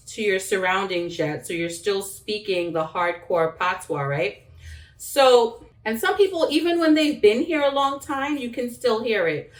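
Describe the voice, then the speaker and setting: A woman's voice: medium pitch, distant mic. A woman from New York, born in Jamaica, chatting casually and rambling while she cooks in her home kitchen. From born in Jamaica, raised in Brooklyn, New York. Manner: rambling and chatty, laughs often, talks while chopping. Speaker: cooking vlogger. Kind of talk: casual chat while cooking.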